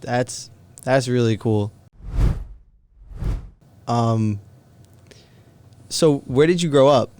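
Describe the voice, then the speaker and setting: A man's voice: medium pitch, very slow, pauses often, darkish tone. A man hosting a casual interview, asking questions in an informal, slangy way. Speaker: podcast host.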